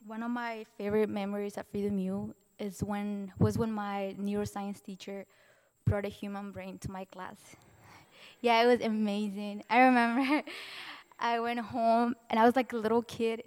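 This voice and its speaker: A young woman from Mexico, a Spanish native speaker, giving an earnest, heartfelt personal testimony as a student speaker, halting now and then to restart. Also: mid-range voice, flat delivery, clean tone. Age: young adult. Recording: distant voice in a reverberant hall.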